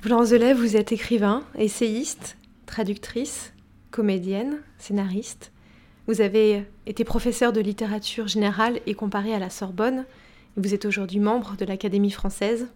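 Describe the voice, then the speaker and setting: A woman's voice: medium pitch, steady tone, darkish tone. Composed woman radio interviewer. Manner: formal, measured, composed questions.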